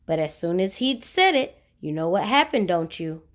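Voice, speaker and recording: A woman's voice: fairly low pitch, very animated, normal speed. A woman narrating a children's storybook expressively, putting questions to young listeners. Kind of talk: animated read-aloud narration of a children's storybook.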